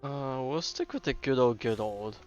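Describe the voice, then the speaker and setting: A man streams a game and thinks aloud in a casual, rambling way. His voice sits at an average pitch, recorded slightly dull with clear game music behind him. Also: normal speed. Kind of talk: casual live gameplay commentary.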